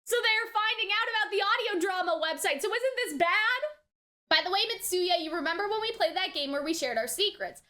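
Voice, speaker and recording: A woman's voice: very high voice, expressive, a little fast, bright, forceful tone. A woman in her early twenties giving casual, chatty, emphatic commentary to viewers as a review host, often checking in with the listener. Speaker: anime reaction and review host.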